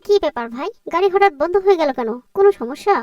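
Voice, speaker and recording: A woman's voice: extremely high pitch, lively intonation, very fast, recorded from a distance, dull audio, over loud music. A woman voicing a distressed, pious young woman in a cartoon, with a plaintive, pleading delivery. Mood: worried. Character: distressed young woman, pious crime victim telling her ordeal. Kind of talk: dramatic cartoon dialogue and storytelling.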